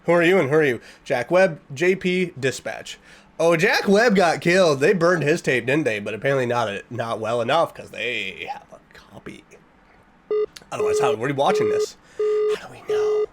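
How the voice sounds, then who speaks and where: A man giving casual, sarcastic reaction commentary with animated, mock-excited asides over background music. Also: a little high, normal speed, very noisy. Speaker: reaction video host.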